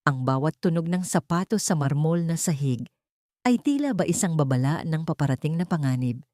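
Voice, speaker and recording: A woman's voice: sits low, animated, normal speed, warm tone, no room sound, clean studio sound. A woman with a Filipino accent narrating a dramatic audiobook story from a script.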